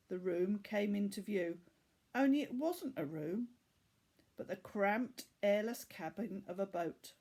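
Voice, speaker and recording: A woman narrating a children's story aloud like a teacher, measured and expressive. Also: mid-range voice.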